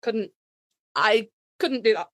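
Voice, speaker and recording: A woman's voice: on the high side, slow, dry recording, studio quality. A woman hosting a podcast in casual, conversational chat. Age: adult, parent. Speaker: podcast host.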